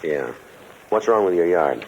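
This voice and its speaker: A man acting a terse, patient police detective with an American accent, in clipped, deadpan 1950s radio drama style. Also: low voice, a little slow. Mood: mildly skeptical. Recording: muffled, noisy old radio recording.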